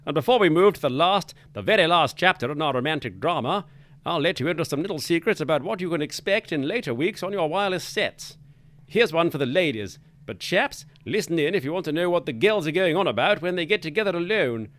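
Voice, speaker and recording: A man's voice: on the high side, expressive, brisk, fairly bright tone, dry recording. A man hosting a spoof 1940s wireless hour, his patter jolly and mock-pompous. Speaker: radio show host. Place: studio.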